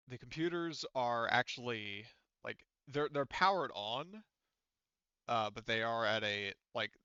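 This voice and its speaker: A man acting as game master, narrating a tabletop game casually and haltingly as he thinks aloud. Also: medium pitch, pushed and bright, clear, resonant tone, no room sound, dull audio.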